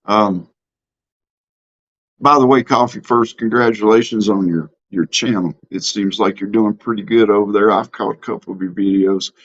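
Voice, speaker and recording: A man's voice: lowish voice, fairly even intonation, normal speed, dull audio. Plainspoken Southern man on a live stream. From Mississippi. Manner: plainspoken, drawling, many ums and restarts. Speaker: livestream host. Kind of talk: casual live-stream chat reading comments.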